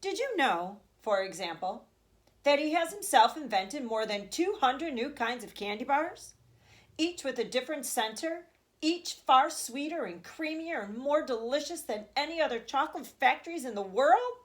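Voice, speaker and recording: A woman voicing an excitable, kindly old grandfather from a children's storybook, dramatic and savoring each detail. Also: high voice, moderate pace, voice pushed up. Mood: excited, marveling. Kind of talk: children's storybook read aloud.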